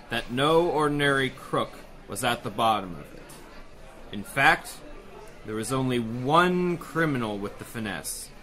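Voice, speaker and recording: A man's voice: medium pitch, a bit bright, natural voice. An actor playing a self-assured male police commissioner, in 1930s-style radio drama acting. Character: confident male police commissioner, brisk and sure.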